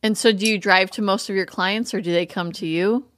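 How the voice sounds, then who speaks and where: A woman's voice: medium pitch, steady tone, a little fast, a bit bright, dry recording, clean studio sound. A woman hosting her own podcast, opening the show and interviewing a guest with short encouraging replies. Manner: brief encouraging backchannels. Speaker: podcast host.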